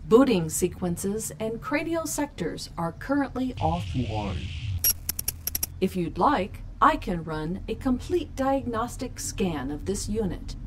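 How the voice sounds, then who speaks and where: A woman's voice: medium pitch, sing-song, slow. A woman playing a polite, officious computer assistant in an audio drama, speaking in a formal, stilted way. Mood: politely officious. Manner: formal, stilted, scripted helpfulness. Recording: noisy background.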